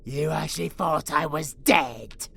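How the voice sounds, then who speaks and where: An actor voicing a gleefully deranged, bloodthirsty immortal vampire monster in a horror audio roleplay, gravelly and growling, foul-mouthed and taunting. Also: mid-range voice, measured pace, full character voice. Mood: mocking. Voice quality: gravelly, growling, breathy cackles. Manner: foul-mouthed, taunting, manic, swinging from whispers to shouts.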